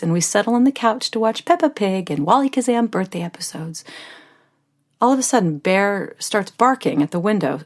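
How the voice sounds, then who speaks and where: A middle-aged actress narrates a heartfelt first-person audio drama in a mid-range voice. Her delivery is tender and quietly emotional, and at times it drops to a near whisper. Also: medium pitch. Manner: tender, softly emotional, some words dropped to a whisper.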